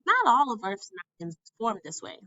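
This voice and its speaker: A woman reading a children's science book aloud with deliberate, emphatic phrasing, like a teacher for young learners. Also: on the high side, huge pitch swings, sharp tone, no room sound, lo-fi sound. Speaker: school staff member reading a textbook aloud.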